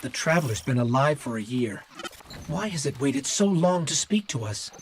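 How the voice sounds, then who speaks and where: An actor voicing a curious little robotic companion in a game cutscene, inquisitive and earnest. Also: male voice, mid-range voice, expressive, normal speed, noisy background, close to an everyday voice. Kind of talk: video game cutscene acting.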